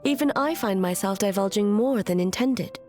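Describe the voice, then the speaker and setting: A woman voicing a stoic, aloof immortal shogun in a video game, speaking in a formal, grave and measured way over music. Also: mid-range voice, animated, a little mellow, lightly acted. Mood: solemn, reflective. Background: background music.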